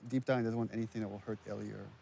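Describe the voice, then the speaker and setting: A man's voice: fairly low pitch, fairly even intonation, racing, creaky, dark tone. Man explaining scenes over faint background noise. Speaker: writer and director commentator. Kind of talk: casual film commentary. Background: game cut-scene sound.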